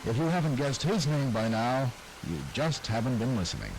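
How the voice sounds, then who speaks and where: A man announcing and introducing an archived radio segment in scripted narration with measured, formal delivery. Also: mid-range voice, big pitch swings, a little hiss or hum, low-quality recording.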